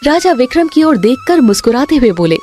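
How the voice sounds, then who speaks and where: A woman tells a cartoon story in a fairly high voice. Her delivery is expressive, with lively swings in pitch, over a soft music bed. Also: brisk. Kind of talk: scripted cartoon story narration.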